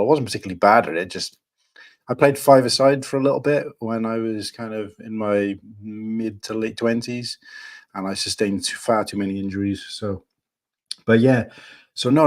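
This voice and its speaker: A man's voice: lowish voice, brisk. Hesitant Welshman with a Cardiff accent. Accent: Welsh, Cardiff area. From Wales. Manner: hesitant, many ums and restarts. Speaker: podcast guest. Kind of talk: casual chat.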